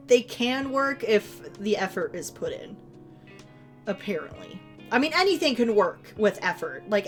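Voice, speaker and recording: Giggly rambling woman streamer. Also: mid-range voice. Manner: giggly, rambling, self-teasing. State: losing her voice. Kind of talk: casual stream chat with viewers.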